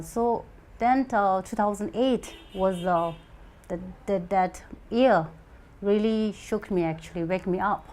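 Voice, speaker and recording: Soft-spoken Nepal-raised woman, hesitant. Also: lowish voice. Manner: hesitant, many fillers and restarts. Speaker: youth organization official. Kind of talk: interview answers.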